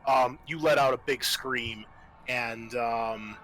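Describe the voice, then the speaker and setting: Bright-voiced man running a tabletop game. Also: mid-range voice, slow, rough recording. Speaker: game master. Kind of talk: narrating a tabletop roleplaying game with friends. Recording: faint music behind the voice.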